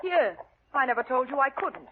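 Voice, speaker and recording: An actress playing a troubled, defensive young heiress in 1940s radio style, on a noisy old recording. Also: female voice, high voice, sing-song, normal speed, phone-quality sound. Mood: urgent. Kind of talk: 1940s American radio acting.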